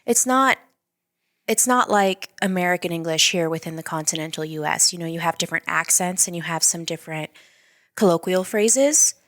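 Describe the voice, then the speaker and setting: A woman from Mexico, whose first language is Spanish, talking candidly as a podcast guest, measured with dry humor. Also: lowish voice, a bit bright, no echo. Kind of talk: candid conversational storytelling.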